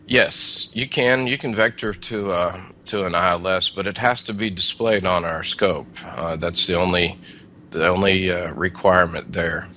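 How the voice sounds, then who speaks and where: A man giving casual, plain-spoken explanations as an interview guest, with somewhat halting delivery. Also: deep voice, steady tone, bright, forceful tone, muffled recording, slight background noise. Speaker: air traffic controller, interview guest.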